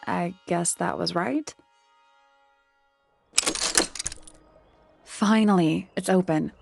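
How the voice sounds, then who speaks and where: A woman playing a blunt, observant detective's sidekick with deadpan, laconic delivery in a mystery adventure game. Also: on the low side, a little slow, vocal fry, over loud music. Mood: curious, matter-of-fact. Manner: deadpan, laconic, matter-of-fact.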